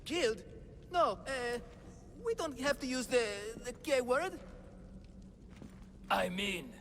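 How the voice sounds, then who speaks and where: An actor playing a nervous, theatrical swindler in a video game, stammering through hesitant sales patter. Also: male voice, very high-pitched, big pitch swings, slow, fairly bright tone, slight background noise, heavily acted. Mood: flustered, evasive. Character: nervous, theatrical swindling huckster, a frightened father. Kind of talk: video game character acting. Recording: music behind the voice.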